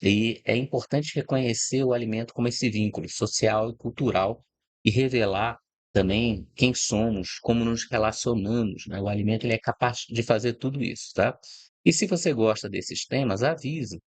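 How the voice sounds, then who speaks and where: A man's voice: medium pitch, moderate pace, no echo. A middle-aged man from Brazil giving an informal, reflective talk, explaining ideas step by step and often checking in with the listener. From Brazil, born in Rio de Janeiro. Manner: reflective, explanatory, frequent "né?" and "tá?" tags. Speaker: host of a channel about food and agribusiness. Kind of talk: informal spoken talk to camera.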